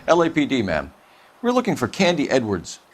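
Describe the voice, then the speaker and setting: An actor playing an earnest, persistent 1940s American police detective in a crime game, with formal, clipped questioning. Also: male voice, mid-range voice, wildly swooping pitch, a little fast, a little hiss or hum. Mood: businesslike. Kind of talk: video game acting, period crime drama. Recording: music behind the voice.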